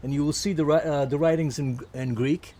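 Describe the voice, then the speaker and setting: A man, recalling his life in a casual interview, halting with many fillers and restarts. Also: a little high, steady tone, mellow. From Turkey. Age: 70s. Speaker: interviewee, musician.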